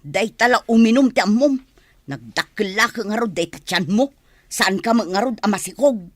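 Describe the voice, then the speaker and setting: A woman's voice: mid-range voice, expressive, moderate pace, no echo, clean studio sound, lightly acted. A woman with an Ilocano accent playing a defensive, nagging village wife and mother in a radio comedy-drama. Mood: combative, scolding.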